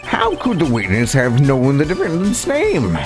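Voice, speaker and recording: A performer voicing a stern but easily puzzled elderly male judge in a courtroom game, deliberate and questioning. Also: mid-range voice, huge pitch swings, over music. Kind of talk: courtroom video game voice acting.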